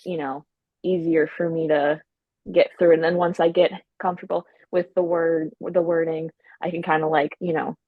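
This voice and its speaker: Woman who stutters, low voice, dry sound. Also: flat delivery, normal speed, no room sound, phone-quality sound. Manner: stutters, with repeated sounds and restarts; frank, swears freely. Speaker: podcast guest, stand-up comedian. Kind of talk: casual chat.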